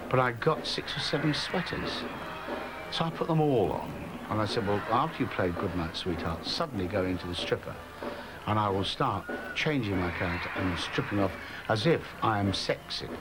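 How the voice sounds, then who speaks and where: A man's voice: low voice, big pitch swings, moderate pace, very noisy. A man, a pantomime performer, telling an amused anecdote about his act. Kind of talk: archive interview.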